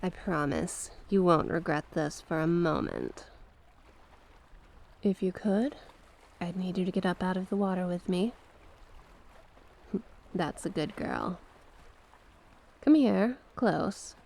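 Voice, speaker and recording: A woman's voice: lowish voice, gravelly, a little mellow, slight background noise. A woman voicing a mysterious older sea witch in an intimate ASMR roleplay, coaxing and theatrical in her delivery. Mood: pleased, guiding. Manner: slow, theatrical, coaxing, with terms of endearment.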